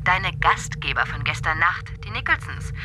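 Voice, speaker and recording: Woman playing a low-voiced, sassy radio host. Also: lively intonation, normal speed, noisy background, lightly acted. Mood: probing. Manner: teasing, probing. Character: sassy, probing female radio talk-show host. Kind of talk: German radio drama acting. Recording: music behind the voice.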